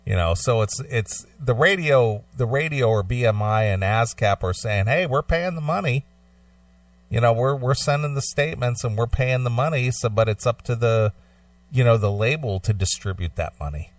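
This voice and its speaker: A man co-hosting a talk show, alternating between read-out news and casual discussion in a measured, agreeable, matter-of-fact way. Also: medium pitch, lively intonation, dry recording, recorded from a distance.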